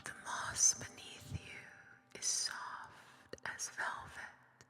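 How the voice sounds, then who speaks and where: A woman whispering a story in a soft-spoken, hushed and deliberate ASMR style, close to a binaural microphone. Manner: slow, hushed, deliberate delivery with careful pauses. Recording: long reverb over music bed. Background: music.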